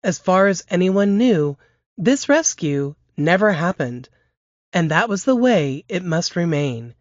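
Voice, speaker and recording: A woman's voice: on the low side, big pitch swings, a little slow, no room sound, lo-fi sound. Woman reading a novel excerpt. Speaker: author reading her novel. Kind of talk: audiobook-style novel reading.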